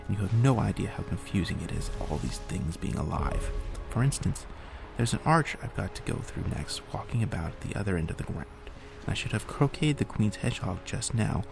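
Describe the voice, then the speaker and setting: A prim, fretful young girl, as a man reading aloud puts her on. The voice stays deep and very gravelly, lifted only a little. Soft music plays beneath.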